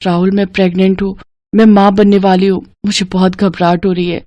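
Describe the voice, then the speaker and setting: A woman plays a headstrong schoolgirl, head over heels in love, in an audio story. Her delivery is emphatic and earnest, at a middling pitch. The sound is dry, with little room in it. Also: flat delivery, brisk, mellow, dry recording, lo-fi sound, close to an everyday voice. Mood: anxious. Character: headstrong, lovestruck schoolgirl, pleading and indignant. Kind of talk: dramatized Hindi moral story acting.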